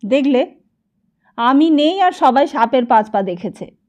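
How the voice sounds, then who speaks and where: A woman's voice: high-pitched, lively intonation. A woman reading the part of a stingy, suspicious village landlord who gives orders and fires off questions in an audiobook. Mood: testy, questioning. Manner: peremptory, rapid-fire questioning.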